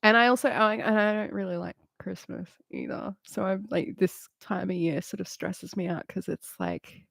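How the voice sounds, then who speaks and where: Woman, late 30s, streamer: candid, sweary, rambling chat, mid-pitched, dry close sound. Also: steady tone, moderate pace, vocal fry, hardly any pauses, fairly bright tone, no echo. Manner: candid and rambling, swears freely, laughs often. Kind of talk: casual chat on a live stream.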